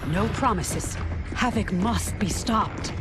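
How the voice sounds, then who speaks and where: A woman's voice: mid-range voice, takes it slowly, over music, natural voice. A woman acting a tough, principled warrior in a video game, with firm, curt and serious delivery. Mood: determined. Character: resolute, tough female warrior, fiercely principled.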